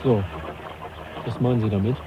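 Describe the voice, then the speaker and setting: An actor playing an uneasy, dryly ironic young naturalist in a radio play. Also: male voice, fairly low pitch, steady tone, moderate pace, narrow, tinny audio, background noise, natural voice. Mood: wry. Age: young adult. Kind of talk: 1980s radio play acting.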